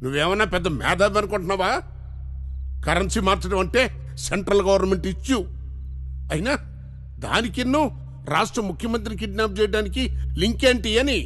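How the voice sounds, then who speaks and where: A kidnapped politician in a film drama speaks in a raised, high voice. He is indignant and exasperated, scolding one moment and pleading the next. Soft music sits behind him. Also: brisk, pauses often. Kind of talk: Indian film drama dialogue. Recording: film soundtrack with faint music.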